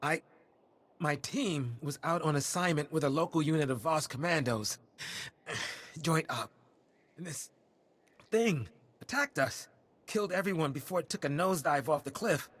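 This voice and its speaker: A battered soldier in a video game. His voice is husky and a little high, and he talks in an earnest, halting, weary way, like someone giving a field report. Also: a bit bright. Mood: shaken, weary. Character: weary wounded male soldier, grateful and earnest. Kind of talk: video game cutscene acting.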